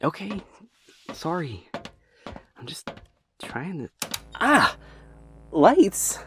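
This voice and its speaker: A man's voice: on the high side, wildly swooping pitch, very slow, background noise, heavily acted. A man acting an anxious, naive young doctor who stammers earnestly in a sci-fi audio drama. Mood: taken aback. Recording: music bed underneath.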